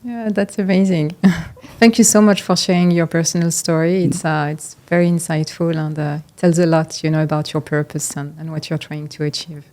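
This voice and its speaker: A woman's voice: low-pitched, little pitch movement, normal speed, dark tone. Attentive woman hosting a panel. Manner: attentive, constant affirming murmurs. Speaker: host, moderator. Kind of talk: moderating a panel.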